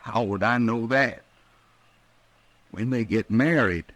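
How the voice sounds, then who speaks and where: An actor playing a distraught outlaw in a 1950s American radio western, with a halting, rustic drawl. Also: male voice, medium pitch, a bit bright, dry recording. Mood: confused, agitated. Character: desperate, distraught Western outlaw gunman in love.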